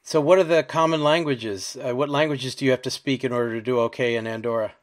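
A man's voice: mid-range voice, fairly even intonation, fast talker. Easygoing man hosting an interview. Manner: easygoing, prompts with tag questions. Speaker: interviewer, podcast host. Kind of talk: conversational interview.

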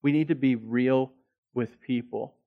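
A man's voice: mid-range voice, steady tone, a little slow, phone-quality sound. A middle-aged male pastor preaching a sermon in a conversational, storytelling way, repeating words as he restarts and rising to emphatic peaks.

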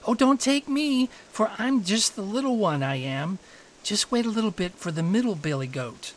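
Casting: male storyteller as tiny young storybook billy goat, high, delicate, timid, pleading. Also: animated, moderate pace, slight background noise. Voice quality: delicate, thin.

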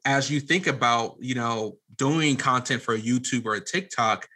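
Bright-voiced man, halting and rambling. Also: mid-range voice. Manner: halting, rambling, restarts phrases often. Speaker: podcast guest and media producer. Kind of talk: casual conversational interview talk.

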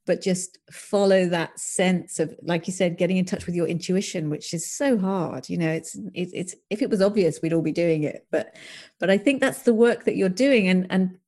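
A woman's voice: lowish voice, moderate pace, darkish tone. A woman hosting a podcast, talking in a reflective, affirming way as she responds to her guest. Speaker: podcast host and energy coach. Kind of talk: casual conversational interview.

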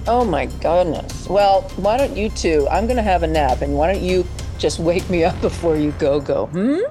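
A woman's voice: on the low side, moderate pace, over music, lightly acted. A woman playing a dotty, flirtatious mother in an improv sketch, genteel and coy. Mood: polite, dotty. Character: dotty, flirtatious mother, polite and easily distracted.

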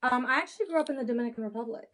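A woman's voice: fairly high pitch, rapid-fire, a bit bright. A woman in her thirties, born in Haiti, talking candidly as an interview guest, with frequent restarts. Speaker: guest, adoption consultant. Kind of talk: casual interview conversation.

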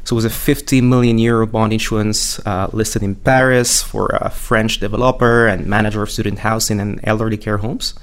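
A young man from Morocco, an MBA student guest who speaks in a measured way with frequent hesitations. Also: lowish voice, steady tone. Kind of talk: casual interview answers.

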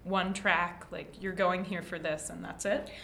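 A woman hosting a podcast, asking questions in a relaxed, chatty way with frequent "like" fillers. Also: lowish voice, measured pace, vocal fry. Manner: friendly, chatty, with many "like" fillers. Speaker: podcast host. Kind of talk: casual podcast chat. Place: studio space indoors.

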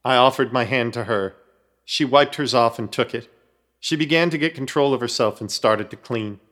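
A man's voice: medium pitch, moderate pace, fairly bright tone, natural voice. An actor narrating a horror-comedy radio play as a jittery, self-mocking everyman, telling the tale wryly with dramatic quoted outbursts.

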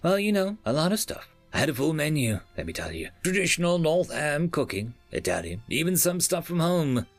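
A man's voice: a little high, big pitch swings, brisk, hardly any pauses, no echo, soft background music, pitched above the performer's own voice. A man doing a folksy rambling chef. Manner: folksy, rambling, slangy talk. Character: boastful chatty male space-station chef.

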